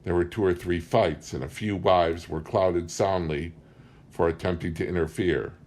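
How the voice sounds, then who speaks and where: A man's voice: low voice, fairly even intonation, moderate pace, darkish tone. A man reading a book aloud as scripted story narration. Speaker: audiobook reader.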